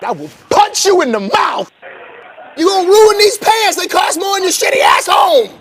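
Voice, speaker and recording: A man playing an indignant, bombastic anger translator for a president, ranting loudly in sketch comedy clips. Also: very high voice, sing-song, moderate pace, heavily acted. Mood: outraged. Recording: noisy soundboard clips over phone.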